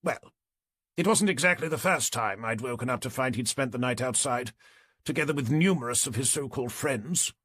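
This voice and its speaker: A man's voice: mid-range voice, normal speed, fairly bright tone, slightly rough. A man voicing an indignant, pompous middle-aged neighbour in an audiobook, with a clipped British accent. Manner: pompous, exasperated.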